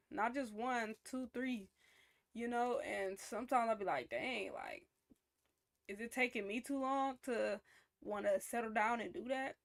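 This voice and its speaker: A woman's voice: a little high, a bit bright. Chatty young woman reacting and reflecting. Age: young adult. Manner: reflective, chatty, faith-minded. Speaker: reaction streamer. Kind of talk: casual reaction commentary.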